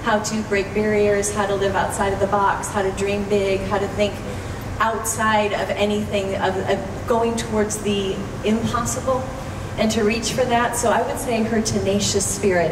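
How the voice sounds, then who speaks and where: A woman's voice: mid-range voice, steady tone, moderate pace. Woman on a convention panel, big hall reverb, noisy recording from way back. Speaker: panelist, voice actress. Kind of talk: live stage panel talk. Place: large convention hall stage, recorded from the audience. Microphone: handheld audience camera far from the stage PA. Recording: echoey hall from far off, noisy crowd.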